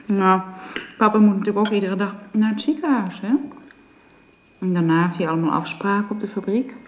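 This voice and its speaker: Woman playing kind young governess, medium pitch, soft coaxing manner, forgiving, radio drama, slightly muffled. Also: fairly quick, echoey room, slight background noise, natural voice. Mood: soothing, motherly.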